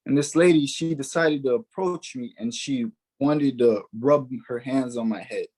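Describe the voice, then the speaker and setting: A man of about twenty-two, from Haiti and the United States, shares a personal testimony. He sounds earnest and stresses key words by repeating them, with an average-pitched voice. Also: normal speed, a little mellow, rough recording. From born in Haiti, raised in the United States. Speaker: guest giving testimony.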